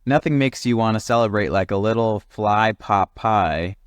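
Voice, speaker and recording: A man's voice: fairly low pitch, normal speed, smooth, no echo, muffled recording, voice pushed up, close to an everyday voice. A man voicing a jolly, eager bullfrog in a storybook with a hearty manner. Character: jolly, enthusiastic male bullfrog offering treats.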